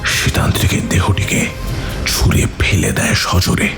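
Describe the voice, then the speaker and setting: A man narrating a scripted horror story in a dramatic, breathy, suspenseful manner. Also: moderate pace. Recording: music under the voice.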